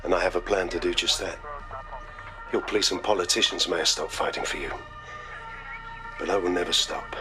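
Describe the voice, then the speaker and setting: An actor playing a grave, authoritative British security boss in a video game, speaking solemnly and deliberately. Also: male voice, sits low, steady tone, over music, natural voice. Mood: resolute, rallying. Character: grave, menacing male private security boss, public orator. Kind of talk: dramatic video game cutscene acting.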